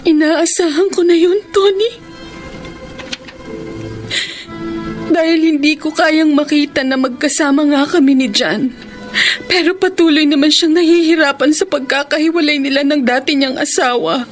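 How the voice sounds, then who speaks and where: Casting: actress for sorrowful Filipino woman in love, high register, emotional radio drama, light musical underscore. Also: normal speed, faint music behind, natural voice. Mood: sad resignation. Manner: melancholy, emotional. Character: sorrowful, self-sacrificing woman storekeeper in love.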